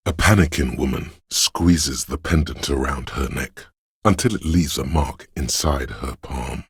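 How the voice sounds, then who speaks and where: An actor playing a brooding, all-knowing inner voice in a video game, narrating slowly and poetically in the second person with ominous solemnity. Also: male voice, dry recording, studio quality, full character voice. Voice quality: gravelly, husky bass. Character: brooding, omniscient inner voice narrating a city's shivers.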